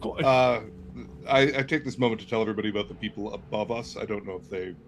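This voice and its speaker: A man's voice: lowish voice, fast talker. A man playing a sly character at a tabletop game, speaking with hesitant uhs. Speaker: player. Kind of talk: in-character tabletop roleplay chat.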